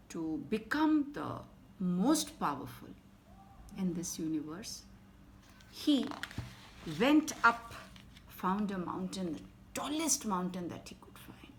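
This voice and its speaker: A woman with an Indian English accent who tells children a bedtime story in an expressive, dramatic way and drops to a whisper at times. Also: fairly low pitch, a little hiss or hum.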